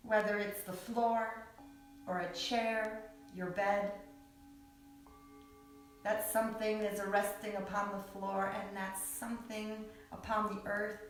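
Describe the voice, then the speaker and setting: Slow-speaking woman guiding meditation in echoey space. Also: medium pitch, level tone. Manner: soothing, unhurried, long pauses between short phrases. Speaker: yoga nidra guide. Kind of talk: guided meditation. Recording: echoey, distant mic with background music.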